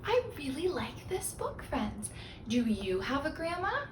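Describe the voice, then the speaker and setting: A woman's voice: high voice, echoey room, distant mic, noisy background. A woman hosting story time, speaking simply and expressively to young children as a read-aloud reader. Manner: simple questions and encouragement addressed to small children.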